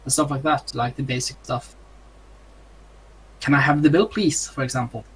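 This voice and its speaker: A man's voice: mid-range voice, steady tone, moderate pace, slightly rough, poor audio. A young man with a Norwegian accent, answering interview questions in casual, hesitant English with many ums and uhs. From Norway. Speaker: streamer, interview guest.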